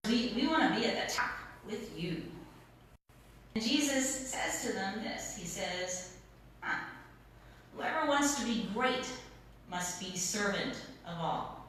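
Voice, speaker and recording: A middle-aged woman pastor preaching in a plain-spoken, informal storytelling way, pausing and repeating words for emphasis. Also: medium pitch, a bit bright. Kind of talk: sermon, conversational storytelling. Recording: very echoey room, distant mic, noisy.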